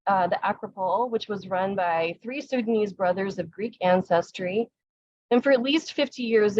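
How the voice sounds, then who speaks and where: A woman's voice: mid-range voice, a little mellow, no echo, muffled recording. Woman with a cold giving a talk. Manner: earnest, measured, sad but hopeful. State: recovering from a cold. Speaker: museum conservator giving a talk. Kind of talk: virtual lecture. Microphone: computer mic on a video call.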